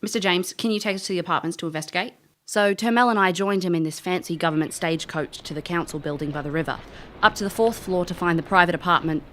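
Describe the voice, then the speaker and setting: Fast-talking actress as a brash elf detective. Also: female voice, fairly low pitch, fairly bright tone, natural voice. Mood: brisk, confident. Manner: cocky, flippant, blunt. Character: brash, wry female elf detective, ex-military. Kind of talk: audio drama dialogue and first-person narration.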